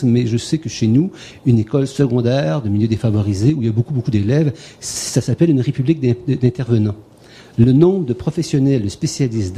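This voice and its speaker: A man's voice: mid-range voice, dark tone, husky. A man from Quebec with a Québécois French accent, a conference participant who works through a long anecdote and repeats words as he searches for them. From Canada, Quebec. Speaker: conference participant asking a question. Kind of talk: academic discussion with anecdotes.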